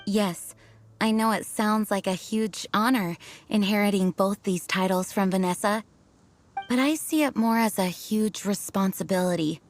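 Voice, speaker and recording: A woman's voice: mid-range voice, moderate pace, natural voice. A woman voicing a noble, dutiful knight commander in a video game, speaking formally and earnestly. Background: game music.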